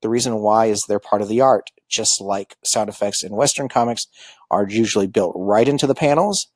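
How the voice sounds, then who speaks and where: A man giving an informal lecture on manga, halting often with ums and uhs. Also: on the low side, darkish tone, no room sound. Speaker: school librarian teaching manga basics.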